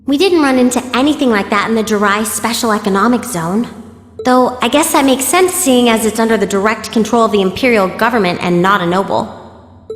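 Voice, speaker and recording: A woman's voice: fairly high pitch, fairly quick, soft background music, natural voice. Woman as an analytical female cadet in echoing reverb. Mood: thoughtful. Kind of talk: video game voice acting. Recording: echoey hall-like reverb.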